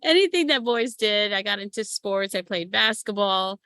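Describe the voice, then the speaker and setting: Woman in her 50s on a podcast, bright voice, laughs a lot telling stories, dry sound. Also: medium pitch, moderate pace, no echo. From United States. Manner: lively storyteller who laughs often. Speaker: guest, matchmaker and relationship coach. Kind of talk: casual interview chat.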